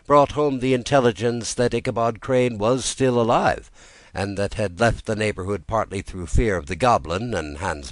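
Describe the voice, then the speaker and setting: A man narrating a classic story as a soothing bedtime audiobook, in a gentle and measured manner. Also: fairly low pitch, no echo.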